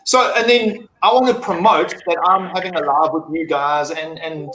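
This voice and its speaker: A man's voice: on the high side, fairly even intonation, normal speed, fairly bright tone. A man with an English South African accent, chatting loosely as a guest on a live stream, rambling and swearing casually. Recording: roomy mic with faint noise.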